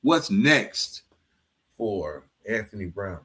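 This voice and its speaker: A man's voice: lowish voice, sing-song, slow, fairly bright tone. A man hosting a podcast in a casual interview style, affirming his guest and peppering his talk with informal, buddy-like address. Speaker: podcast host.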